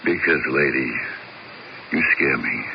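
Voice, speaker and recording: Casting: a world-weary hitman, very deep and gravelly, terse, old hissy radio tape. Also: takes it slowly, highs cut off, exaggerated voice. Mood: cold. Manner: flat, laconic, world-weary deadpan. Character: weary, cynical hired killer man. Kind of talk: 1970s radio drama acting.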